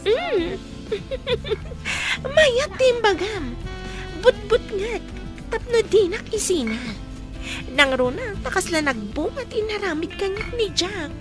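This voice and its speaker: An actress playing a scheming, clingy young mistress in an Ilocano radio drama, with a drawn-out, pleading delivery. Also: female voice, extremely high pitch, sing-song, over music, exaggerated voice. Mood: sly, scheming.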